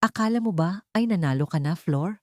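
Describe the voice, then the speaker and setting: A woman's voice: fairly low pitch, animated, a little fast, no echo, clean studio sound, lightly acted. A Filipino woman voicing a bitter, vengeful fallen rich woman in an audio drama, taunting and accusing.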